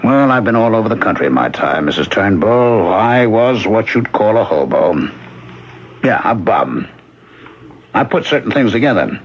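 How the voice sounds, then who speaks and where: An actor in a 1970s radio drama playing an earnest former hobo, insistent and pleading in manner. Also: male voice, fairly low pitch, big pitch swings, normal speed. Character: earnest, persistent working man, former hobo. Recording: dull, noisy old radio recording.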